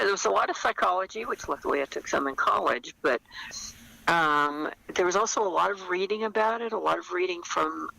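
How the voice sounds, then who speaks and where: Gravelly older woman, dry close sound. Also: deep voice, pushed and bright, no room sound, rough recording. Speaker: novelist, interview guest. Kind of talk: conversational interview, explaining her writing.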